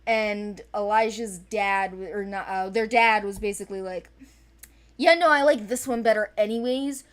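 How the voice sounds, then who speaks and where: Woman, mid-pitched voice, creator talking through characters in a loose, enthusiastic, self-correcting way. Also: normal speed. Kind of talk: casual character discussion.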